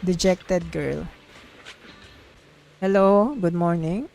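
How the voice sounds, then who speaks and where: A woman's voice: fairly low pitch, extremely slow, creaky, a little mellow. A woman streaming a story game, casually reading the dialogue aloud and reacting with giggly, sweary exclamations. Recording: music playing behind the voice.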